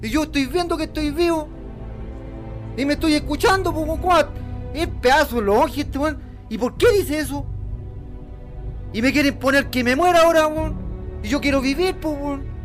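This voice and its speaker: A man with a Chilean accent playing a slangy young shantytown labourer in an amateur audio drama. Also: very high-pitched. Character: drunk, slangy twenty-year-old construction worker from a shantytown.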